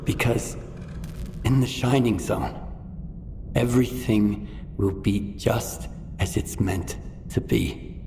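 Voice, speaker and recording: An actor playing a stoic, loyal mercenary in a video game, terse and earnest. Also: male voice, lowish voice, slow, long pauses, dark tone. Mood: solemn, earnest. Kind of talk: video game cutscene acting. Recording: long reverb, clearly noisy background.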